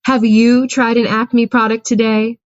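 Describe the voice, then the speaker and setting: A woman announcer reading a parody commercial in a smooth sales-pitch manner. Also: flat delivery, unhurried, dry recording, highs cut off. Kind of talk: mock commercial read.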